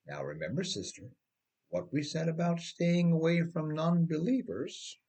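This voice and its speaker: A man voicing a paternal church pastor in a read-aloud story, gently patronizing and coaxing. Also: fairly high pitch, animated, a little slow, husky, pitched above the performer's own voice. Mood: gentle warning.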